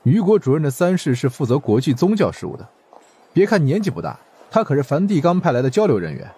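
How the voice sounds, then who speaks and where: A man performs a brusque male bureau director for an audiobook. The voice is dark and husky, lively in pitch, with a curt, dismissive grumble. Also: fast, full character voice. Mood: businesslike.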